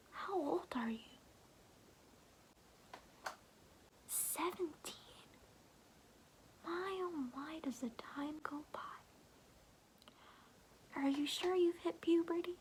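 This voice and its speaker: A woman voicing a chatty, doting grandmother in a soothing, breathy ASMR roleplay whisper, rambling in a folksy way. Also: high-pitched, a little hiss or hum. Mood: teasing.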